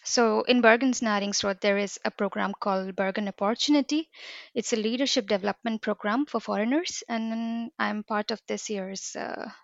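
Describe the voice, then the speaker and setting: A woman's voice: mid-range voice, normal speed, vocal fry, no echo, muffled recording. A woman from India, answering interview questions in a fluent, earnest, explanatory way. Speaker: guest, business developer. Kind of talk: podcast interview.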